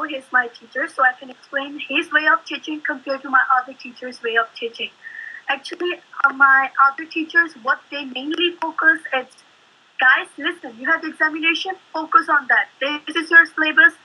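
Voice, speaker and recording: High, bright-voiced eager student. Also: female voice, fairly even intonation, normal speed, rough recording. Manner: eager, fervent. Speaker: student guest.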